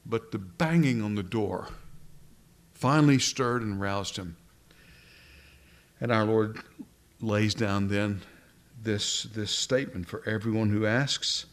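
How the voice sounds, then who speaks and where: A man preaching a Bible sermon, often repeating words as he searches for the next phrase. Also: mid-range voice.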